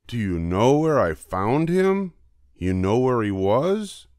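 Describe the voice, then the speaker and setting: An older man giving an expressive reading of a children's storybook, with drawn-out emphasis. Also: mid-range voice, no room sound, clean studio sound. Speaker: storybook reader.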